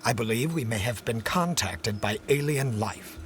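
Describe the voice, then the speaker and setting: A man's voice: medium pitch, expressive, normal speed, noisy background, lightly acted. Man acting an impatient, swooping-voiced obsessive scientist. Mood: frantic, insistent. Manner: formal, clipped, demanding. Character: obsessive, imperious male research scientist, fussy and impatient. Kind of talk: audio drama acting.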